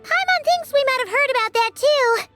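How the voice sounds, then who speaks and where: A tiny floating fairy companion from a video game. The voice is extremely high, squeaky and childlike, swinging lively up and down. The manner is perky and excitable, over clear background music. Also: female voice, normal speed. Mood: chatty, perky. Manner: refers to self in third person, perky. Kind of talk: video game character acting.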